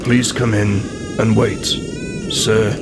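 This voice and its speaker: A man's voice: fairly low pitch, wildly swooping pitch, extremely slow, over loud music, exaggerated voice. A man playing a stiff, solemn and deferential manor-house butler in a radio play, speaking formally and with measure. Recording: clear ambient noise behind the voice.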